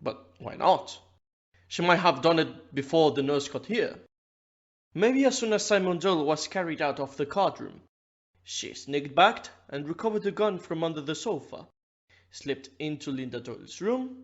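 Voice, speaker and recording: Man playing a blunt, higher-voiced ship's captain. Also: expressive, normal speed, dull audio. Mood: puzzled, insistent. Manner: blunt, incredulous. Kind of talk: audio play acting.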